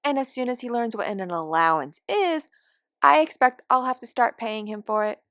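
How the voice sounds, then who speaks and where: A woman's voice: a little high, lively intonation, moderate pace, a bit bright, dry recording, studio quality. A woman voicing a cranky, forgetful elderly care home resident in a wry, rambling, complaining monologue from a short story. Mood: fond, conspiratorial. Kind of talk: first-person dramatic monologue of short fiction.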